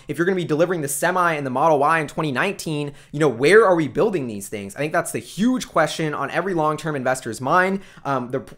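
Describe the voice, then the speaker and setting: Male channel host, slightly high voice, informal investor commentary delivered with earnest enthusiasm. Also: a bit bright. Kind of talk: casual informal commentary to camera, addressed to followers.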